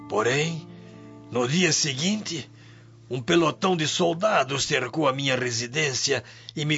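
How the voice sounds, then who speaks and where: Radio drama old sailor: Brazilian, weary and emotive, fairly high swooping voice, muffled recording over music. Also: male voice, a bit bright. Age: older. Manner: solemn, emotive storytelling. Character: weary, humble old Roman sailor recounting his past.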